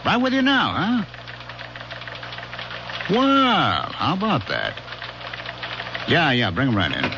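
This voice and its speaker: An actor playing a brusque, world-weary police lieutenant in a radio drama, with a gruff, sardonic, slangy manner. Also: male voice, fairly high pitch, wildly swooping pitch, slow pace, exaggerated voice. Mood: wry, needling. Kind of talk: 1970s radio drama acting. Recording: dull, noisy old radio broadcast recording.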